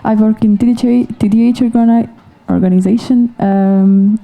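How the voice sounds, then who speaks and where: A woman from Ukraine with a Ukrainian accent, a teacher introducing herself in halting, simple English. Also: on the high side, fairly even intonation, vocal fry, mellow. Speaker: teacher, panelist. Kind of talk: halting panel self-introduction.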